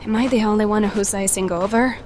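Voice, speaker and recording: A woman's voice: mid-range voice, steady tone, brisk, natural voice. A woman playing a young, impatient hireling in an audio drama, complaining and questioning. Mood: grumbling, cold. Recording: clear background noise.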